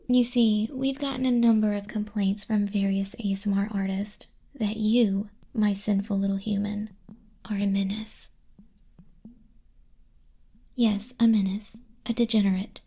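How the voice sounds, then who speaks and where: A woman playing a smug demon clerk in an ASMR roleplay, soft-spoken and often whispering, with a breathy, husky, condescending and teasing delivery. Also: mid-range voice, flat delivery, unhurried, pauses often. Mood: smug, scolding. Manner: condescending, teasing, dryly bureaucratic. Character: smug female demon clerk registering sinners in hell.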